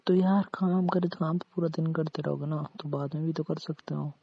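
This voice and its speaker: Man acting as teasing romantic husband, gravelly husky voice, coaxing and playful, casual home chat, muffled recording. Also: on the high side, fairly even intonation, fairly quick, dry recording. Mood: insistent, complaining. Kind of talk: intimate Hindi role-played couple conversation. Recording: muffled, lo-fi, dry call-style recording.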